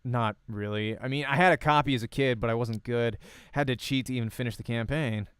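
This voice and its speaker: A man playing a nervous, awkward young amateur gamer in a visual novel, speaking in a hesitant, halting way. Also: medium pitch, fairly even intonation, a bit bright, smooth, dry recording, clean studio sound, lightly acted. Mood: uncertain.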